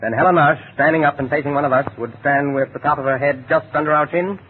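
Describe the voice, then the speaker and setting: Man playing measured doctor-sleuth on tinny old radio. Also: a little high, natural voice. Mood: reasoning. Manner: measured, deliberate, deductive. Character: calm, shrewd, educated male doctor turned amateur sleuth. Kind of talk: 1940s radio detective acting. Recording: noisy, tinny vintage radio recording.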